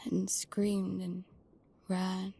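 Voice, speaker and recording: Hushed, wary young girl narrator. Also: medium pitch, monotone, extremely slow, heavy background noise. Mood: wistful. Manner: hushed, breathy, often near whispering. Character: wary, protective young girl narrating her life at sea. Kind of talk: first-person scripted audio drama narration.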